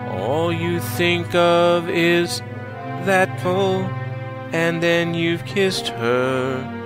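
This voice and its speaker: A woman's voice: on the low side, steady tone, drawn-out words. A woman singing a sad ballad. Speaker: singer. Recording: sung over backing music.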